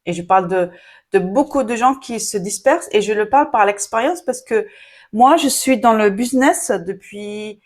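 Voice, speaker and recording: A woman's voice: mid-range voice, lively intonation, moderate pace. Chatty French-speaking woman coaching viewers. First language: Arabic. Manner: chatty, frequent fillers, addresses viewers directly. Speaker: online business coach. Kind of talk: casual talking-to-camera advice.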